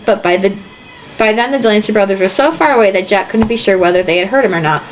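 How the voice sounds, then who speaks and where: A woman's voice: medium pitch, quick pace. A woman reading a novel aloud informally, theatrical and irreverent, with asides. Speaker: reader. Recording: dull lo-fi home recording, faint noise.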